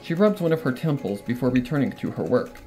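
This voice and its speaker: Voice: mid-range voice, lively intonation, fast talker, warm tone, faint music behind. A narrator reading a horror story aloud in a dramatic, first-person style. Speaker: fan fiction narrator.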